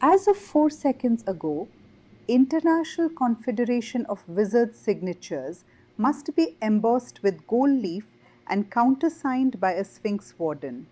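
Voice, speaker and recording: Woman acting an officious magical clerk, fairly high voice, lively intonation, crisp, unflappable, politely obstructive, audio drama. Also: a little slow, low-quality recording. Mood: officious, unruffled. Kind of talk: scripted character dialogue in audio story.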